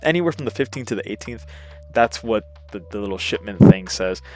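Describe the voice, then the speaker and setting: Young guy rambling into his old webcam, lowish voice, unsure and self-deprecating, faint music under it. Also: expressive, a little fast, a little mellow, low-quality recording. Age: 20s. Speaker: YouTuber. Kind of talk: casual rambling vlog.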